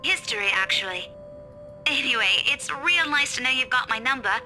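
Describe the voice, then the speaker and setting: A woman's voice: high-pitched, expressive, normal speed, sharp tone, soft background music, heavily acted. A woman playing a friendly, awkward young schoolteacher in a visual novel, speaking in informal, clipped, hesitant phrases.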